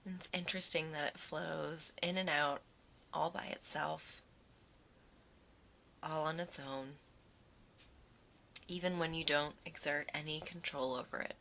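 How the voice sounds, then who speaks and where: A woman leading a guided imagery meditation in a soothing, unhurried manner, speaking in short phrases with pauses between them. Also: low-pitched, fairly even intonation, gravelly, sharp tone, slight background noise. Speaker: guided relaxation narrator.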